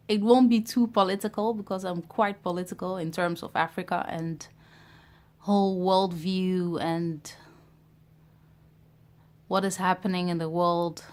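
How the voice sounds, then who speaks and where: A woman from Ghana in her thirties who grew up in Europe, talking to camera in a reflective, hesitant way about starting a business. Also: on the low side. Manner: reflective, hesitant, many pauses and fillers. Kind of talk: personal vlog talk to camera.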